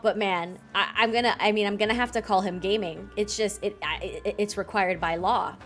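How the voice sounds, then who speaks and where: A woman's voice: medium pitch, moderate pace, fairly bright tone, faint music behind. A woman game streamer giving casual, playful live commentary and reading bits out.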